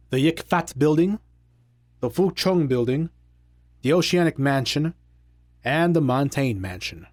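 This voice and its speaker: A man playing the confident, wry leader of an adventuring trio in an audio drama, speaking in a measured, articulate way. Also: medium pitch, darkish tone, no room sound, clean studio sound, close to an everyday voice.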